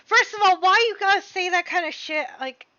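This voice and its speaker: A woman streaming a game, chatting casually, swearing and reacting loudly. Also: extremely high pitch, fairly quick, highs cut off. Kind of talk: casual livestream gameplay chat.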